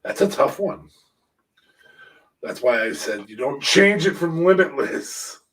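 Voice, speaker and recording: A man hosting a podcast, chatting casually in a halting, playful way. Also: a little high, expressive, fairly bright tone. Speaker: podcast host. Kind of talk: casual wrestling fantasy booking chat. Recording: voice distant from the mic.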